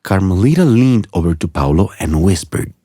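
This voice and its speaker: A man narrating a children's story from a script in an expressive storytelling manner. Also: deep voice, normal speed, dry recording, studio quality.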